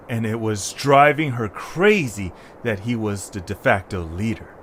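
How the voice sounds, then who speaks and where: Slow, expressive male story narrator. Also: fairly low pitch. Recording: faint background noise.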